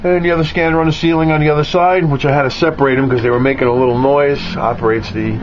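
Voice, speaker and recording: A man's voice: fairly high pitch, fairly even intonation, fast talker. A man casually narrating an off-the-cuff tour of his radio hobby gear, with jokey, self-mocking boasting. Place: cluttered garage and radio room, indoors. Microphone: handheld camera's built-in mic. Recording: muffled handheld camera audio with clear noise.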